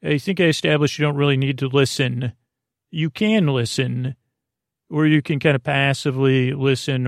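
A man hosting a sleep podcast with an improvised bedtime monologue, meandering, halting and mildly self-deprecating, with a creaky voice. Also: medium pitch, dry recording, clean studio sound. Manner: meandering, halting, often trailing off mid-sentence.

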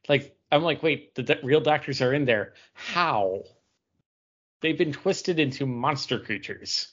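A man's voice: medium pitch, fairly bright tone. A man co-hosting a podcast, talking in a casual, conversational way. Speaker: comics podcast co-host. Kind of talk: casual discussion. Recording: dull lo-fi audio.

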